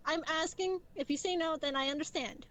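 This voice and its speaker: A woman's voice: sits high, a little fast. A woman improvising in an online roleplay, eager and pleading, often repeating the start of her phrases. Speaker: roleplay player. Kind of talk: improvised roleplay, casual chat. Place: online VRChat session. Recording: faintly noisy voice-chat microphone.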